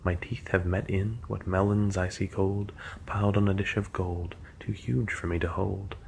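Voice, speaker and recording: A man reading the lines of an eager, dreamy young woman, in a soft, partly whispered voice. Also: deep voice, little pitch movement, moderate pace, gravelly, a little hiss or hum.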